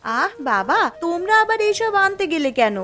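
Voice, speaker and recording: A woman as a high-pitched, insistent cartoon housewife. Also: sing-song, moderate pace, sharp tone, faint music behind, heavily acted. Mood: fussing, welcoming. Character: bossy married daughter and housewife, sharp-tongued with husband. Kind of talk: Bengali moral-story cartoon dialogue.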